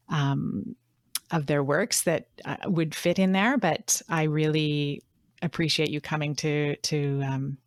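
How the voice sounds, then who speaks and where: Low-pitched woman co-hosting, dry sound. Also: dry recording. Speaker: podcast co-host. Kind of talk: casual podcast conversation.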